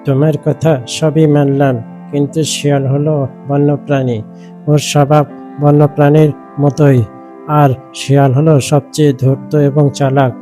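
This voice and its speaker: A fair-minded village leader acted by a man speaks in a measured, slightly high voice. His cartoon delivery is cautioning and fairly even, over faint music. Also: close to an everyday voice. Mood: firm. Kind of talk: cartoon character acting.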